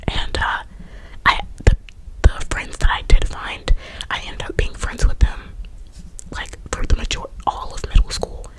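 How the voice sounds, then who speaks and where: A woman's voice: measured pace, rough recording. A young adult woman whispering a casual personal story to her viewers, chatty and full of fillers. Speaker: vlogger.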